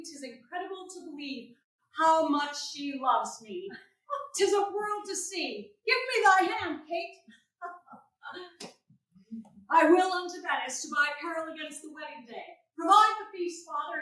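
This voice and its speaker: Woman playing a brash suitor, high and echoey. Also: lively intonation, unhurried, a bit bright. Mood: jubilant, boastful. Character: brash, bold male suitor bent on taming his bride. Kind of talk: staged reading of Shakespearean comedy. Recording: very echoey room, far from mic.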